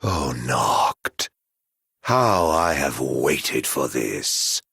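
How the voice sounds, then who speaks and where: A grandiloquent immortal male villain from a video game, mocking and hungry for revenge. The voice is deep and rough with gravel, drawn out very slowly as he savors each sardonic phrase. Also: no room sound, studio quality, exaggerated voice. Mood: ominous, mocking. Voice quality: gravelly, husky. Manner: drawling, grandiloquent, sardonic, swinging from murmurs to shouts.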